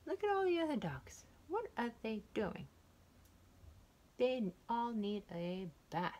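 A young woman reading a picture book aloud to small children in a playful, expressive storytelling style with little asides. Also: a little high, takes it slowly. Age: young adult. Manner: playful, expressive, exclaiming and questioning. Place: indoors, wood-ceilinged room.

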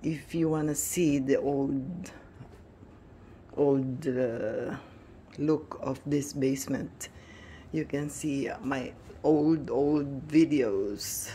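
Slow, low-voiced woman touring her basement. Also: warm tone, a little hiss or hum. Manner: hesitant, many restarts and fillers. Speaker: home vlogger. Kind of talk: casual home tour narration. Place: finished house basement, indoors.

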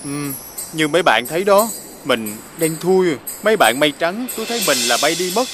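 A lonely little storm cloud from a children's cartoon, childlike and expressive with a southern Vietnamese accent. The voice sits a little low but swings widely in pitch, over clearly audible music. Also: female voice, normal speed. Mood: glum, hurt. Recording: music bed behind the voice.

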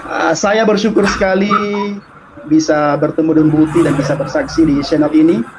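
A man's voice: on the high side, steady tone, brisk, music in the background. A man, giving an earnest, repetitive personal testimony on a video call, restarting his sentences and often addressing the host. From Aceh, Indonesia. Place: small room indoors. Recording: dull lo-fi video call, faint background noise.

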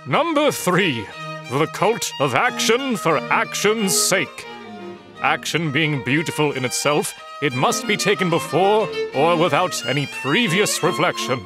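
High-voiced man narrating with theatrical flair. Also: very animated, a little slow, over music. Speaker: narrator of a comic dub.

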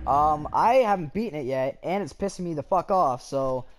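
Excitable young man yelling at a game. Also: on the high side, moderate pace, a little hiss or hum. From Canada. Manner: excitable, swears and yells at the game. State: slightly hoarse from yelling. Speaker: gameplay streamer. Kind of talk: casual gameplay commentary.

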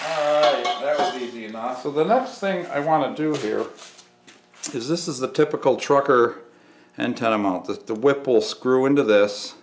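A middle-aged man giving a casual, folksy hands-on how-to, thinking aloud as he works. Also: mid-range voice. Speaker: DIY workshop host. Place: outdoors by a house and in a barn workshop.

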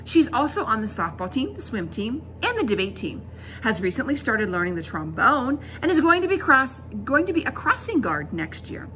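A woman's voice: on the high side, very animated, normal speed, sharp tone. Middle-aged woman teacher reading aloud, faint noise. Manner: emphatic, instructive. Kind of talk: expressive children's book read-aloud. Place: indoors in a school classroom. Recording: classroom recording with background noise.

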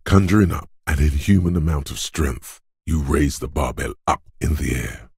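An actor voicing a gruff, domineering inner voice of brute strength in a video game, husky and rumbling, with scornful, deliberate drill-sergeant delivery. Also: male voice, animated, darkish tone, dry recording, studio quality, heavily acted. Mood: gruff, intense. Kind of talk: video game character narration.

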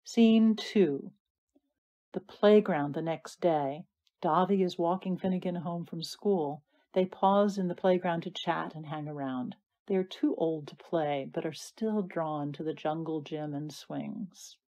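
Female narrator, slightly low register, scripted atmospheric storytelling for an audio play. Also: unhurried, mellow.

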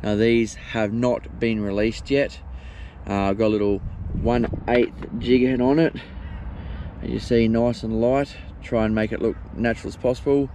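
A middle-aged Australian man giving relaxed, chatty fishing commentary by a lake, filmed on a head-mounted action camera. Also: mid-range voice. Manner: relaxed, chatty, unhurried with fillers. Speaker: fishing vlogger. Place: outdoors by a lake shore. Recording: outdoor noise, wind and water.

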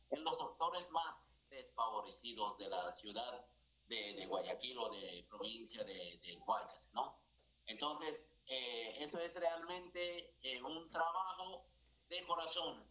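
A middle-aged priest from India speaks with an Indian accent, earnest and halting, full of small fillers. His voice is bright and forceful. He talks over a video call from a small office. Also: mid-range voice, low-quality recording. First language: not Spanish. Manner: earnest, gestures a lot, halting non-native phrasing with many fillers. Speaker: priest, foundation director. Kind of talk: live TV interview by video call. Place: indoor office with a religious statue.

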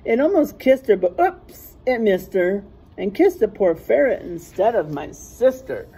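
A middle-aged woman reading a rhyming picture book aloud in a teacherly way, pausing to point out word pairs. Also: medium pitch, big pitch swings, warm tone, slightly rough, rough recording. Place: small classroom, indoors.

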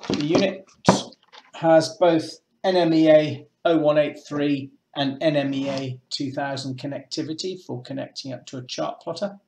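A man's voice: on the high side, fairly even intonation, normal speed, vocal fry, darkish tone, a little hiss or hum. Echoey middle-aged man demonstrating products. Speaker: presenter of a boating shop's product video. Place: small indoor room with painted block walls. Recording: echoey small room.

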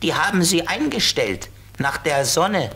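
Magical flying pal, friendly know-it-all, unhurried, measured delivery, vintage kids' audio drama. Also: male voice, fairly high pitch, sing-song, sharp tone, husky, heavily acted. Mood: matter-of-fact. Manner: measured, explanatory. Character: friendly, knowing flying companion of a small girl. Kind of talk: 1970s German children's radio play acting.

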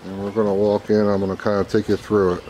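A man's voice: lowish voice, flat delivery, quick pace, warm tone. A man casually narrating a walk-through tour of a property as the agent showing it. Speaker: real estate agent giving a house tour. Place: empty rooms of a house under renovation. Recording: echoey empty house, clear background noise.

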